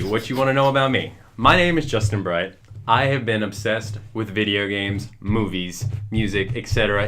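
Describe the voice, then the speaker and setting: A young man with a Western Kentucky accent, co-hosting a casual podcast with friends, upbeat and enthusiastic. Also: lowish voice. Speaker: podcast co-host.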